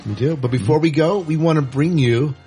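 A man's voice: on the high side, a little fast, mellow, faint music behind. A man hosting a casual interview in an informal, upbeat way. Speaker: podcast host.

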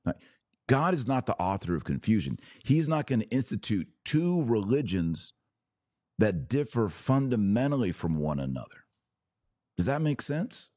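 A man's voice: on the low side, big pitch swings, normal speed, warm tone, no room sound, studio quality. A man hosting a religious podcast, giving emphatic, dogmatic commentary in short punchy phrases with rhetorical questions. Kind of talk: opinionated religious commentary, talking to listeners.

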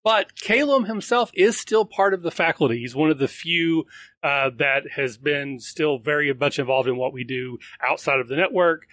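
A male podcast host with a slightly higher than average voice, running a live show with upbeat, repetitive phrasing like "very, very cool." The sound is dry and close. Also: normal speed, a bit bright, no echo. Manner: upbeat, repeats words.

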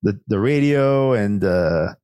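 A man's voice: fairly low pitch, lively intonation, takes it slowly, darkish tone, clean tone, no echo, studio quality. A Mexican-man, co-hosting a casual show review, rambling and laughing often. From Mexican-American, United States. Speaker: podcast co-host.

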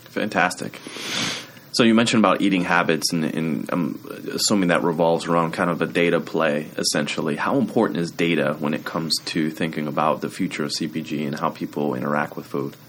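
A man's voice: rumbling low, steady tone, fairly quick, a bit bright. A man hosting a business podcast, asking questions with brief, upbeat acknowledgments. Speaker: podcast host.

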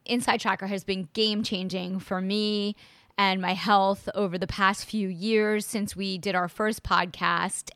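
A woman's voice: medium pitch, a bit bright, clear, resonant tone, no room sound. A woman hosting a podcast interview, enthusiastic and conversational. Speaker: podcast host.

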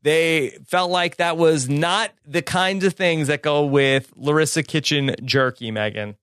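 A man's voice: on the high side, a little slow, fairly bright tone, clean tone, no room sound, clean studio sound. A man hosting a casual recap podcast, chatting and passing questions to his co-hosts by name. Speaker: podcast host.

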